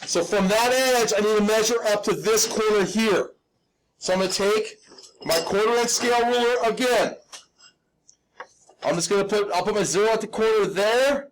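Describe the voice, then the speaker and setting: A man with a high, lightly raspy voice walks students through a drawing task step by step. He is informal and self-deprecating, talking like a hands-on teacher and often pausing to check in. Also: a little hiss or hum, low-quality recording. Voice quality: slightly raspy. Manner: instructional, checks in often with "okay?". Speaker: drafting teacher. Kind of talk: live step-by-step hand drawing demonstration.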